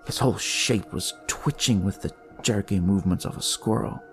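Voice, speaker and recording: A man narrating dramatic noir horror in the first person, with a deliberate, brooding delivery. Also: on the low side. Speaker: storyteller reading in first person as a detective. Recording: music behind the voice.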